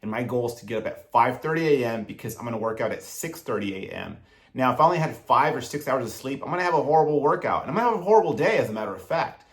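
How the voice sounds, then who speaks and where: Man, thirties, motivational vlogger, earnest, direct, coaching tone, mid-pitched. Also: lively intonation, racing. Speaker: self-improvement YouTuber. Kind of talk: motivational advice monologue to camera.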